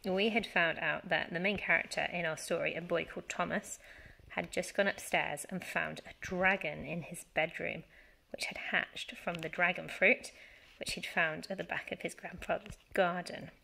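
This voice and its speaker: A woman reading a children's storybook aloud as a teacher, with animated, dramatic emphasis. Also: on the low side, moderate pace, vocal fry, rough recording. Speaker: primary school teacher reading story time.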